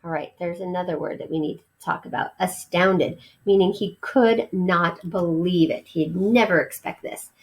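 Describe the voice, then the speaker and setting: A woman reading a children's story aloud as a teacher would, expressive, pausing to explain words. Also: fairly low pitch, low-quality recording.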